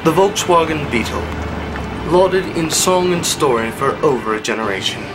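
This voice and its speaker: Deadpan male documentary narrator over loud music. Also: fairly high pitch, normal speed, rough recording. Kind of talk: mock-serious scripted documentary narration.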